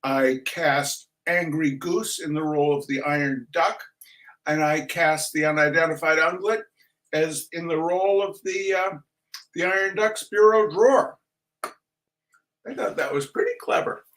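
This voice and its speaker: A man's voice: fairly high pitch, measured pace, fairly bright tone, low-quality recording. A man hosting a livestream in a casual, rambling way, with theatrical, mock-grandiose flourishes. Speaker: livestream host and reader. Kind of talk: casual rambling livestream introduction with shout-outs.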